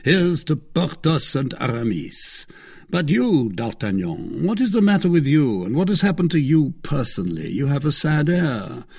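A man voicing a proud, hard-drinking gentleman swordsman in a storybook reading, declamatory and lordly. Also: fairly high pitch, big pitch swings, moderate pace, slightly rough, voice pushed up, full character voice. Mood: hearty, imperious.

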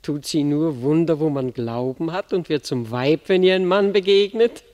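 A woman's voice: deep voice, moderate pace, darkish tone, natural voice. An actress playing a mocking, imperious queen mother who takes a young warrior woman prisoner, in a classic verse radio drama. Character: scornful queen mother, commanding captor. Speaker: villainous royal captor. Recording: long hall reverb.

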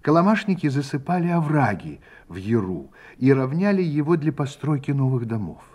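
A man's voice: mid-range voice, very animated, normal speed, darkish tone. A man narrating a memoir radio play in a reflective storytelling manner. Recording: radio play with faint music.

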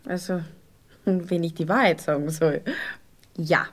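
A woman's voice: lowish voice, steady tone, unhurried. An actress playing a coy, teasing young Viennese sweet girl in a radio play, with a casual, giggly, colloquial way of talking. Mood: coy, protesting. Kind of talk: intimate radio play dialogue.

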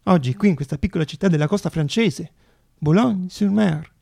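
An actor playing a stirred, solemn congress orator, declaiming phrase by phrase in a radio drama. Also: male voice, high voice, brisk, vocal fry, warm tone, dry recording, clean studio sound. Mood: solemn, proud. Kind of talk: theatrical public speech in radio drama.

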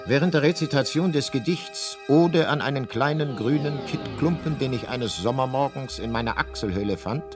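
A male actor as a talking encyclopedic guidebook in a radio play. He narrates in a deadpan, measured manner, with music clearly playing behind him. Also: medium pitch, close to an everyday voice. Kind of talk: scripted radio drama narration.

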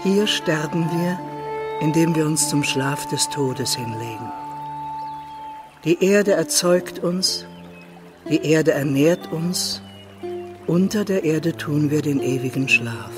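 An actress playing a wise old woman of a forest people in a radio drama, speaking in solemn, measured aphorisms. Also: low voice, animated. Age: older. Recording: music clearly behind the voice.

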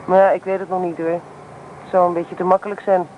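An actress playing a headstrong, teasing young student in a radio play, blunt and self-assured in naturalistic dialogue. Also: female voice, lowish voice, little pitch movement, fairly quick, narrow, tinny audio, natural voice. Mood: dreamy, determined. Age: young adult. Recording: noisy old radio play recording.

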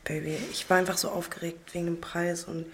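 A woman's voice: lowish voice, flat delivery, brisk, a bit bright, slight background noise. A woman playing an anxious, apologetic partner who hesitantly placates her jealous girlfriend in a naturalistic audio drama. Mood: anxious, pleading.

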